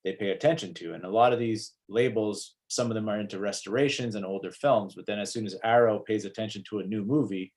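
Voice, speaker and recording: A man's voice: lowish voice, fast, hardly any pauses, a little mellow. A man on a video panel speaking thoughtfully about film labels, using "like" as filler. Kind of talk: casual panel discussion.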